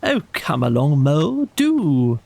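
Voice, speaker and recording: A man's voice: fairly high pitch, huge pitch swings, slow pace, vocal fry, a little mellow, pitched above the performer's own voice, exaggerated voice. A man voicing a cheerful, unbothered water rat, a friendly character in a storybook audio play.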